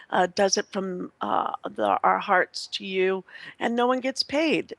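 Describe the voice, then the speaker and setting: A woman hosts a radio show in a chatty, effusive way. Her voice sits at a medium pitch for a woman and is recorded close and dry. She talks casually and off the cuff, meandering as she goes. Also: vocal fry, fairly bright tone, no echo. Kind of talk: casual unscripted radio talk. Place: live internet radio broadcast.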